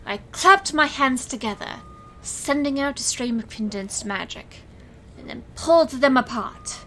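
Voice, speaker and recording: A woman's voice: high-pitched, lively intonation, unhurried, fairly bright tone, slight background noise, full character voice, low-quality recording. A woman playing a proud, intense stage illusionist who narrates her own magical story in a theatrical, earnest audio drama style. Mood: proud, resolute. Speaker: narrator and lead character. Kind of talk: scripted first-person audio drama narration.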